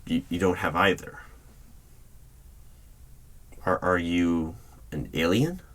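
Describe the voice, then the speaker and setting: A man playing a polite, guarded hiring manager in an ASMR interview roleplay, speaking aloud in a measured, formal, deliberate way with pauses. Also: low-pitched. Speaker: roleplay performer as job interviewer. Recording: steady noise with keyboard typing clicks. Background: computer keyboard typing and clicks.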